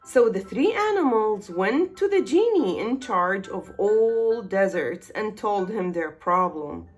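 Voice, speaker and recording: A woman reads a children's bedtime story as its narrator. Her phrasing is deliberate and unhurried, with very lively rises and falls in pitch. Music plays behind her voice.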